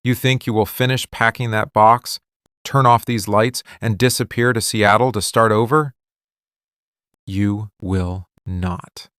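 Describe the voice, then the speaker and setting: A man playing a possessive, brooding mafia boss lover in a scripted boyfriend ASMR roleplay, menacing and commanding, with deliberate dramatic pauses. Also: fairly low pitch, steady tone, fairly bright tone, clear, resonant tone, no room sound, clean studio sound.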